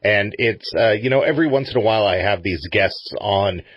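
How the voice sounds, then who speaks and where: A man's voice: lowish voice, a little fast, husky, no room sound, lo-fi sound. A middle-aged man hosting a radio show, chatting casually as he interviews a guest.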